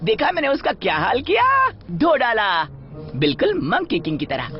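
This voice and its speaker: A man voicing a boastful, excitable young golden monkey hero in an animated film dub, cocky and showy. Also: very high-pitched, moderate pace, full character voice. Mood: triumphant, boastful. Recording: muffled dub over loud music.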